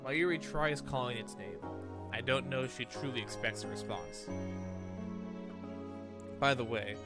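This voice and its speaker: A man's voice: medium pitch, a little slow. A man streaming a game, reading visual novel narration and dialogue aloud in a dramatic way. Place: indoor room at a streaming setup. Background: game music.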